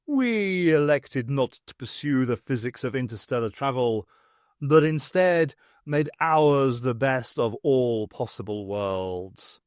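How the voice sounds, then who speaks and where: A man's voice: mid-range voice, animated, takes it slowly, dry recording, clean studio sound. A man voicing a pompous, stately male alien leader in an audiobook, haughty and grand. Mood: haughty, wistful. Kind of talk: audiobook character voice.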